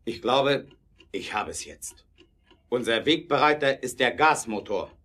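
Determined, earnest inventor. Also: male voice, medium pitch, lively intonation, unhurried, fairly bright tone. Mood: eager, explaining. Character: determined male inventor, brooding, earnest, visionary. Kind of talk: German audio drama acting.

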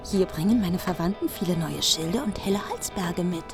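A woman's voice: fairly low pitch, moderate pace, music in the background, lightly acted. An actress playing a grieving, vengeful medieval queen in a radio drama. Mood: bitter glee. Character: grieving, vengeful queen greeting arriving kinsmen with bitter glee. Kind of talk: radio play acting of medieval epic.

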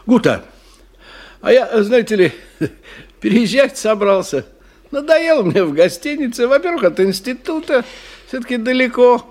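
An actor playing a kindly, awkward family friend in a radio drama, coaxing and hesitant, eager to help. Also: male voice, very high voice, very animated, moderate pace, pauses often, darkish tone. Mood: awkwardly cheerful. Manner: coaxing, hesitant, repeats words.

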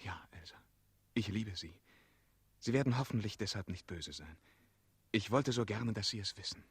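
A brave, lovestruck knight in 1960s radio drama style. His voice is low and breathy, recorded very dry. He speaks earnestly and intimately, like a sincere romantic hero. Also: animated, normal speed, dry recording, heavily acted. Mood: tender, grave. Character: earnest, brave knightly male dragon-slayer in love.

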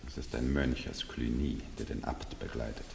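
A grave, deferential royal attendant with an extremely deep, creaking voice. It is 1970s radio drama, heard in an echoing hall. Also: male voice, fairly even intonation, moderate pace, darkish tone, full character voice. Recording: echoey hall, faint noise.

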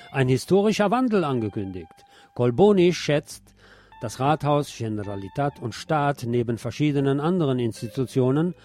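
A man's voice: medium pitch, mellow. A man presenting scripted radio news as a narrator, reading reports in an orderly way. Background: music under the voice.

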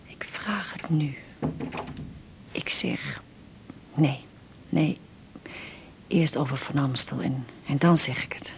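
A Dutch actress playing an older, perceptive mother in a radio drama, muttering haltingly in a hushed, gravelly voice. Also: extremely low pitch, in a whisper, exaggerated voice. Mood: quiet, hesitant. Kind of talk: naturalistic 1980s radio drama acting.

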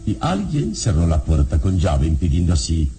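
Heroic investigator, very low voice, deliberate, grave, unshakeable, animated intonation, vintage radio serial. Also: male voice, over music. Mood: suspicious. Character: solemn, unshakeable male hero investigating murders. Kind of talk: old radio drama acting.

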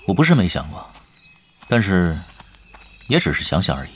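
Low, shrewd, laid-back veteran gamer. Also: male voice, very animated, moderate pace, a bit bright, slight background noise. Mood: low-key. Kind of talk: Chinese audio drama acting. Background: background music.

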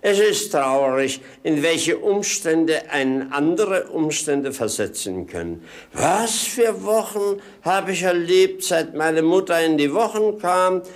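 A man's voice: a little high, animated, takes it slowly. A man playing a cheeky, gluttonous, witty manservant in a 1950s radio play, with a droll, clownish, mock-polite delivery. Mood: droll, impudent.

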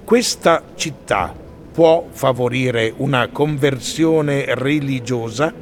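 A man playing a measured questioner in a radio piece, speaking in a slow, deliberate voice-over style. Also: mid-range voice, crowd noise, natural voice. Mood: measured, questioning.

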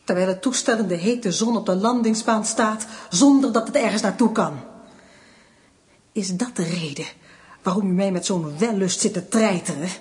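An actress playing a defiant, dryly ironic wife facing an official in a radio drama, restrained and pointed. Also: female voice, mid-range voice, moderate pace, darkish tone, natural voice. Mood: defiant, accusing.

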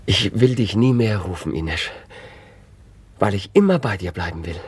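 An actor playing an ardent young gentleman in love in a radio play. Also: low voice, expressive, moderate pace, husky, heavily acted. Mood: tender, pleading. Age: young adult.

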